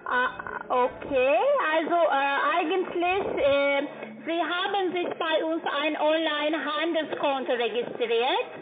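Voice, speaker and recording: Slow, high-voiced woman on phone. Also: background noise. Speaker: call center sales agent. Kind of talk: phone sales call. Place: phone call. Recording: tinny phone line with loud music. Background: music.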